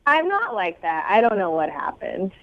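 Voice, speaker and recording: A woman's voice: mid-range voice, huge pitch swings, normal speed, phone-quality sound. A woman on a radio phone segment, talking informally in an apologetic, pleading way. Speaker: radio show phone guest.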